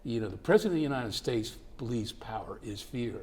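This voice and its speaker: A man hosting a panel discussion, speaking deliberately and conversationally. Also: medium pitch, lively intonation, darkish tone, slightly rough. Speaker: host.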